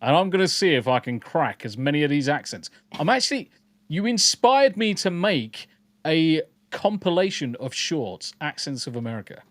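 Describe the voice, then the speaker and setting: A man's voice: a little high, sing-song, moderate pace, no room sound. A man co-hosting a casual podcast livestream, joking and swearing freely while greeting viewers in chat. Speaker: podcast co-host.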